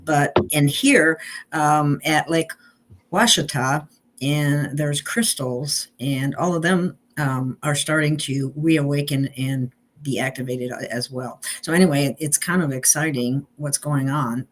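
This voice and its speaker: A woman interviewer chatting casually, often halting between phrases with pauses and ums. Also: low voice. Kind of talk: casual conversation.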